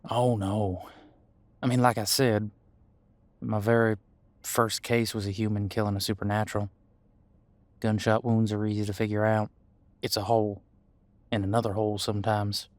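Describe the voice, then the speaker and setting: A man playing an easygoing demon homicide detective in an audio roleplay, talking in a casual, folksy, reassuring way with hesitant pauses. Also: on the low side, level tone, no room sound, natural voice. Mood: reflective. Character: easygoing male demon homicide detective mentoring new partner. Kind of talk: audio roleplay drama, conversational monologue.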